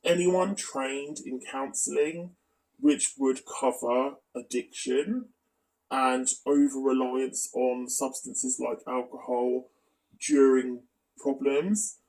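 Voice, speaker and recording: A man's voice: medium pitch, fairly even intonation, slow, a little mellow, far from the mic, rough recording. A man giving critical, explanatory commentary as a video commentator.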